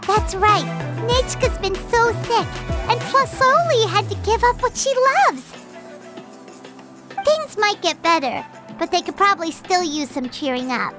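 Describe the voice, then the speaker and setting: Tiny, very high-pitched girlish companion. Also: measured pace, a bit bright, soft background music. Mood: bright, curious. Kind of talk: video game voice acting.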